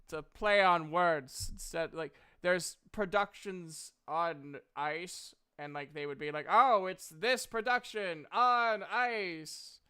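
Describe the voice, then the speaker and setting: A man playing a sheepish, self-deprecating merchant in a tabletop game, halting and apologetic as he repeats himself. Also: high voice, pushed and bright, clean tone, exaggerated voice. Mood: earnest explaining. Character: sheepish, self-deprecating male merchant telling bad puns.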